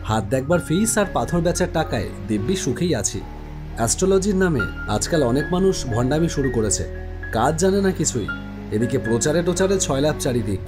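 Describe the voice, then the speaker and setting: A man's voice: on the high side, lively intonation, a little fast. A man giving dramatic first-person narration of a horror story. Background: music.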